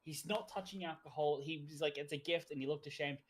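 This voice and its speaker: A man's voice: fairly high pitch, quick pace, breathy edge. A man co-hosting a game stream, chatting casually, rambling and laughing often. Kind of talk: casual chat while gaming.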